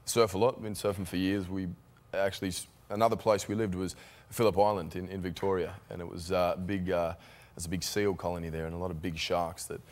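Deep-voiced young Australian man, laid-back. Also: brisk. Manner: relaxed, laughing, rambling anecdotes. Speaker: actor guest. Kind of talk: talk show interview. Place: television studio. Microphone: guest desk microphone.

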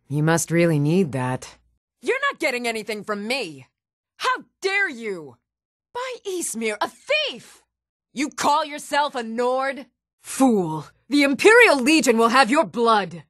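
A woman's voice: a little high, a bit bright, no room sound, studio quality. A woman playing a stern, challenging Nord townswoman ready for a fight in a fantasy video game. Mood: indignant. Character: fierce, even-tempered Nord townswoman warrior, commoner in a fantasy world. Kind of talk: fantasy video game combat and reaction lines.

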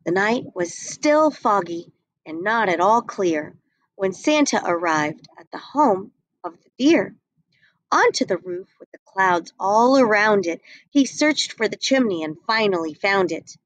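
A woman's voice: mid-range voice, lively intonation, unhurried, no room sound, rough recording. A young woman reading a picture book aloud, expressively, on a webcam at home. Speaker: reader.

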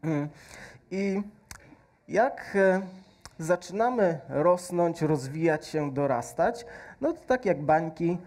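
A man in his thirties doing a stage science demonstration, explaining slowly with many fillers and adding a faith message, on a headset mic. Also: a little high, animated, a little mellow. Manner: slow, patient explaining with frequent fillers. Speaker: science presenter. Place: large stage with audience hall.

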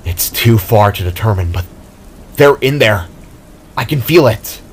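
A man voicing an anxious winged hero in a dramatic story reading, halting and stammering when worried. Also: lowish voice, animated, a little hiss or hum. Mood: alarmed, uneasy.

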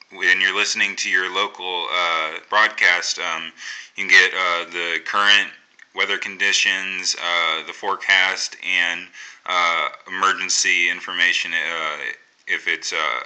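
An American man giving a casual, unscripted radio tutorial in a halting, think-aloud way. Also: low voice, bright, forceful tone, clean tone, rough recording. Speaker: amateur radio hobbyist presenting a tutorial. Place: indoors at a table.

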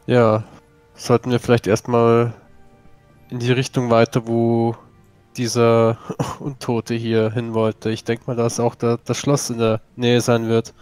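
A man's voice: mid-range voice, completely flat delivery, a little slow, a little mellow, clean tone, no room sound, soft background music. A man speaking in character in a tabletop role-playing game, hesitant and searching for words.

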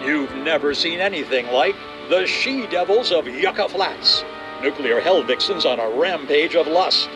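An old-time movie trailer announcer hypes shocking pictures in a lurid, over-the-top radio-play style. The voice rides high and swoops wildly. Each line is drawn out slowly over clear backing music. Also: male voice, lively intonation. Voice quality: over-the-top, breathless ballyhoo delivery. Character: booming 1950s movie trailer announcer, male.

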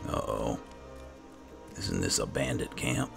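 A man doing roleplay commentary over a video game, halting and musing to himself as he plays. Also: a bass voice, vocal fry. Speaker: gamer narrating in character as he plays. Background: game music.

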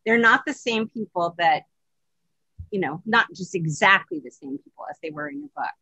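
A woman's voice: lowish voice, expressive, moderate pace, pushed and bright, husky, dry recording, low-quality recording. A woman in her sixties hosting conversationally over a video call. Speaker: host, interviewer. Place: home office, indoors. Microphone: video call computer microphone.

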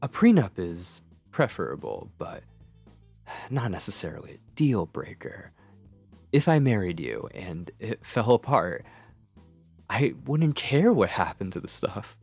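A man's voice: lowish voice, very animated, unhurried, vocal fry, darkish tone, husky, faint music behind, full character voice. A man playing a shy, obsessive lovestruck man on a date in an ASMR roleplay, speaking in a hesitant, intimate, flustered way. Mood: earnest, nervous.

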